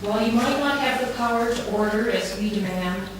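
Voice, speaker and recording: A woman's voice: mid-range voice, fairly quick. Echoey, distant woman making a public address. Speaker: campaigner addressing a council committee. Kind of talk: prepared public speech and answers to questions. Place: large town hall meeting room. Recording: very echoey hall, distant mic, clear background noise.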